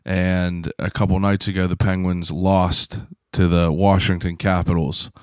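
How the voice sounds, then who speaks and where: A man in his thirties from Pittsburgh, hosting a podcast in a casual, rambling solo talk, hoarse from shouting, swearing freely and filling gaps with "uh". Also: low-pitched, level tone, measured pace, no room sound, studio quality. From Pittsburgh, USA. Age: 30s. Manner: rambling, profane, self-deprecating; frequent "uh" fillers and throat clearing. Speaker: podcast host.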